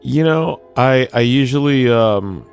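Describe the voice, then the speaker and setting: A man recording casual, off-the-cuff audio feedback as a listener, talking in a halting, unscripted way. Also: medium pitch, expressive, creaky, a little mellow, clean tone, soft background music. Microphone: recording himself on a microphone.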